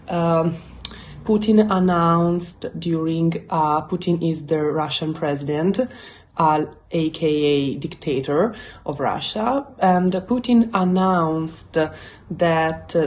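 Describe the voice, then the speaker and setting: A young woman from Italy with an Italian accent hosts her channel and explains the news in earnest, halting English full of fillers. English is not her first language. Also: on the low side, darkish tone, a little hiss or hum. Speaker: YouTube channel host. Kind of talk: casual explainer talk to camera.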